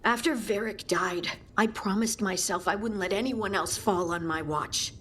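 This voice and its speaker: A woman's voice: mid-range voice, normal speed, a bit bright, slight background noise, natural voice. A loyal woman fighter carrying a heavy burden, voiced by an actress. Her delivery is heartfelt and restrained, in a mid-range voice. It is game drama with faint ambience behind it. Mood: grieving. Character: earnest, burdened young woman warrior, loyal and resolute. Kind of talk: dramatic video game acting.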